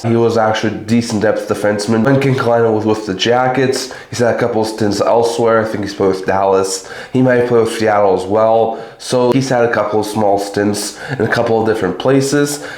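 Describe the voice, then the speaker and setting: A man hosting a hockey channel, giving a casual solo recap of trades in loose, chatty sentences, his voice a little raspy from a cold. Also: medium pitch, darkish tone, echoey room. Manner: chatty, talks in loose run-on sentences with fillers.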